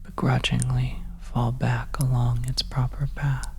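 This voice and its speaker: A person whispering a second-person horror story in ASMR style, breathy and deliberate, leaving long pauses between phrases. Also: mid-range voice, level tone, darkish tone, slight background noise. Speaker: audio roleplay storyteller. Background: oppressive ambience.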